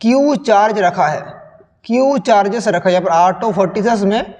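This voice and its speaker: Hoarse young teacher, high voice, reverb. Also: male voice, a little mellow, muffled recording. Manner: slow, repeats questions to students. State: sore throat, hoarse. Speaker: physics teacher. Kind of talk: solving a sample paper on screen. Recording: long reverb.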